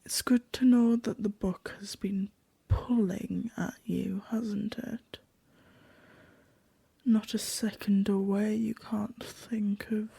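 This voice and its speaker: A woman voicing a sinister, knowing narrator in an audio drama, breathy and hushed, luring the listener in with drawn-out, teasing phrases. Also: medium pitch, no echo, full character voice.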